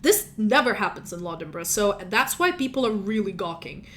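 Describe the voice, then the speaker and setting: A woman's voice: mid-range voice, big pitch swings, a little fast, a bit bright, slight background noise. A woman whose first language is Russian, narrating as game master in a rambling, chatty way, often correcting herself. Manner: chatty, rambling, self-correcting, laughs at own slips.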